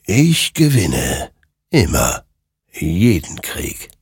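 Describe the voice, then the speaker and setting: A man voicing an all-knowing rider made of burning souls in a dark story, slow, commanding and portentous. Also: deep voice, wildly swooping pitch, vocal fry, a little hiss or hum, full character voice. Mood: triumphant.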